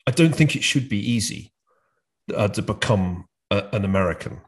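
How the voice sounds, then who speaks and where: A British man hosting a discussion, speaking in a measured way with frequent hesitations and restarts. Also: lowish voice, expressive. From United Kingdom. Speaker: podcast host. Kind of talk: conversational discussion.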